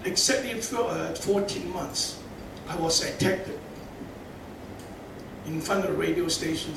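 A man with a Hong Kong accent, a native Cantonese speaker, speaking bluntly and haltingly as a panelist. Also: a little high. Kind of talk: panel speech. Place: panel event hall. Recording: echoey hall from far off, background noise.

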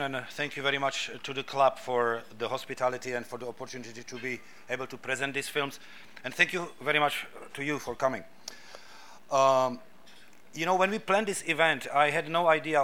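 A middle-aged man with a Czech accent gives a talk at a club event. He speaks haltingly, with frequent restarts and fillers, in a bright voice. Faint room noise sits behind him. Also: medium pitch. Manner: hesitant, many restarts and fillers. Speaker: guest speaker, filmmaker.

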